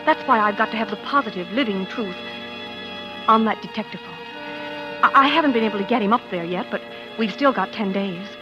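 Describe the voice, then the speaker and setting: An actress playing a desperate, tender young wife in 1940s radio melodrama, with a halting, breathless delivery and repeated words. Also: female voice, medium pitch, music in the background, close to an everyday voice. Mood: anxious, confiding. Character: desperate young wife secretly hunting a killer, tender, nervous. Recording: dull, noisy 1940s radio recording.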